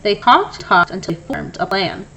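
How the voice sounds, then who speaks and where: Animated woman reading a storybook. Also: medium pitch, moderate pace, gravelly, a little hiss or hum, low-quality recording. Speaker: storybook reader. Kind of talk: expressive children's story read-aloud.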